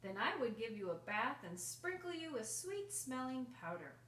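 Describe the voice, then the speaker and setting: A woman's voice: fairly high pitch, sing-song, normal speed, a bit bright, voice pushed up. A woman voicing a loving, patient storybook mother with a tender, singsong, reassuring manner. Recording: roomy, distant mic, faint noise.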